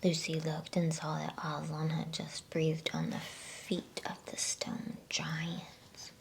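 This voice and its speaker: A woman reading a bedtime story as ASMR in a soft, hushed, unhurried whisper. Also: sits low, monotone, vocal fry.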